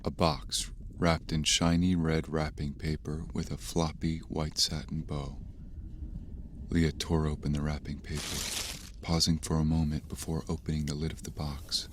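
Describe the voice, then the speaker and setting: An actor narrating a dark horror audio drama in a measured, ominous, grave manner. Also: male voice, a bass voice, little pitch movement, slight background noise.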